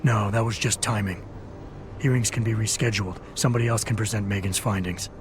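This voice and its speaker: An actor playing a guarded, augmented male security chief in a video game, speaking in a dry, clipped, low rasp. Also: fairly even intonation, brisk, background noise, lightly acted.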